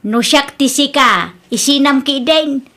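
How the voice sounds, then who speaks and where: Babae nga agakem a natinis, managungget nga ina. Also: female voice, high-pitched, a little slow, pushed and bright, exaggerated voice. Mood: scolding, disapproving. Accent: Ilocano Filipino. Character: protective, scolding mother advising her grown daughter. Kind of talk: Ilocano radio comedy drama acting.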